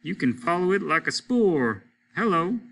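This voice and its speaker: A man's voice: high-pitched, sing-song, moderate pace, gravelly, a bit bright, voice pushed up, full character voice. A man voicing a blunt, middle-aged male doctor who lectures and scolds in a preachy, emphatic way. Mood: wry.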